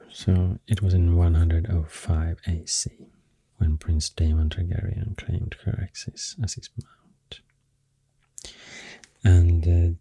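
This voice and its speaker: A man with a Swedish accent doing soft-spoken ASMR, half-whispering in a hesitant, unhurried ramble close to the microphone. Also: low-pitched, vocal fry, slightly rough, no echo. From Sweden. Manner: hesitant, unhurried, halting rambling in short phrases. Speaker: ASMR creator and host. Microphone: close, dry microphone.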